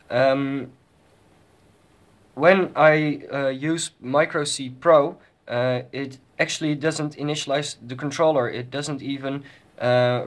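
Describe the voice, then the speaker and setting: Guy with a mid pitched voice doing a casual hobbyist demo, kinda halting, talking behind a handheld camera. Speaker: electronics hobbyist. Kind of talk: informal project demonstration. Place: indoors at a desk with electronics.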